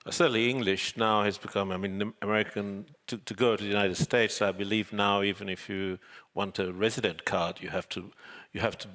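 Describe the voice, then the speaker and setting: A man's voice: lowish voice, steady tone, fairly bright tone. An older man whose first language is Swahili, speaking thoughtfully with hedges as a guest on a panel. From Zanzibar. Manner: thoughtful, measured, with frequent hedges. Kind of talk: panel discussion. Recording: reverberant hall.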